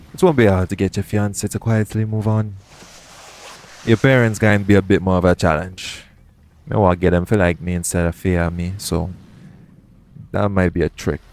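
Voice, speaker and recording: Casting: male actor as roguish, guarded pirate captain, deep voice, measured intimate delivery for audio roleplay, noisy ambient backdrop. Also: a little mellow. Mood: reassuring, scheming. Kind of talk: intimate audio roleplay acting addressed to the listener.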